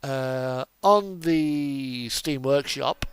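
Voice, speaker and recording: A man's voice: medium pitch, very slow, a little hiss or hum. A man chatting casually and offhandedly while playing a truck simulator game. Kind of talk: casual chat during gameplay.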